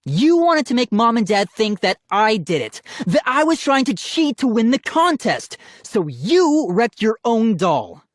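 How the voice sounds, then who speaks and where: A woman voicing an indignant young boy in a children's scary audiobook, loudly protesting and accusing. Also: on the high side, very animated, unhurried, hardly any pauses, no echo, clean studio sound, pitched above the performer's own voice. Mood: angry accusing. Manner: loud, protesting, screaming.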